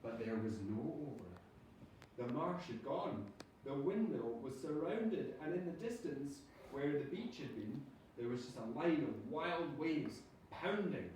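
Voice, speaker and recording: Male teacher, dramatic storybook read for children, lively pitch swings, heavy room echo with faint noise. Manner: dramatic, drawn-out storytelling delivery. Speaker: primary school teacher reading aloud. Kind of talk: expressive storybook reading to children. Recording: echoey room, distant mic, background noise.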